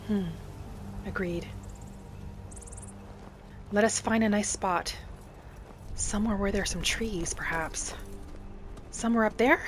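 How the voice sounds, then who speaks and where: A woman playing a gentle, earnest female wood-elf adventurer, musing aloud as she narrates a roleplay game in character. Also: medium pitch, takes it slowly, long pauses, a little hiss or hum, natural voice. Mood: thoughtful.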